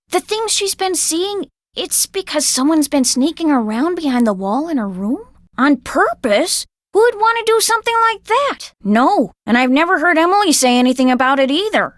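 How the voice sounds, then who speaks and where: High-pitched, folksy, harried American woman innkeeper. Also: fairly bright tone, no echo, studio quality. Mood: startled, defensive. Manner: folksy, wisecracking, exasperated, dramatic. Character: folksy, harried, sharp-tongued guardian and innkeeper in 1930s America. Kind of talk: video game character dialogue with old-fashioned slang.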